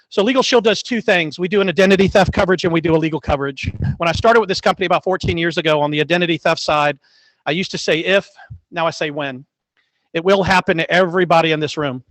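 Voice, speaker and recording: Fast-talking man pitching to a room. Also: fairly bright tone, no room sound, rough recording. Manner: joking, brisk sales pitch. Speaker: guest speaker, sales representative. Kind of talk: sales presentation at a team meeting. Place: office meeting room. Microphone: handheld mic.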